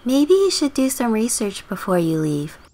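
A woman acts as a sweet young female neighbour in a life-simulation game story. The voice sits high and lilts along, chirpy and curious. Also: very animated, normal speed, dark tone. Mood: friendly, helpful.

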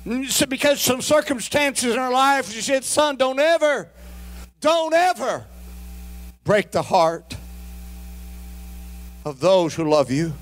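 A man's voice: very high-pitched, lively intonation, takes it slowly, pauses often. A middle-aged man preaching a sermon as pastor, emphatic and calling out to his congregation.

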